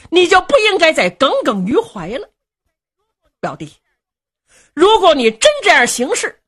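A woman storyteller voicing a stern, loyal male general who scolds his cousin with indignant, forceful declamation. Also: mid-range voice, breathy edge, full character voice. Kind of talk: traditional Chinese storytelling performance.

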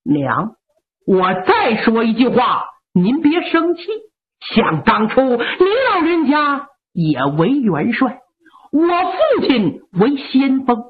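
A woman's voice: on the high side, sing-song, slow pace. A woman storyteller voicing a stern, unbending male army marshal who declaims firmly, sometimes tearfully. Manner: firm, declaiming, at times tearful. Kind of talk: traditional storytelling performance. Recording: lo-fi narrow-band recording.

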